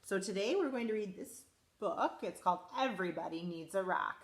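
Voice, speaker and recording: Woman principal, children's story read-aloud, animated and expressive, echoey room. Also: mid-range voice, a little fast. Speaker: school principal reading aloud.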